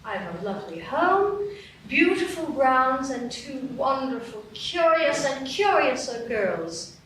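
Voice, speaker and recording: A woman's voice: high-pitched, big pitch swings, slow pace, a bit bright, a little hiss or hum, exaggerated voice. A woman voicing a tender, grateful mother in a live radio play. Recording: echoey stage, distant mic.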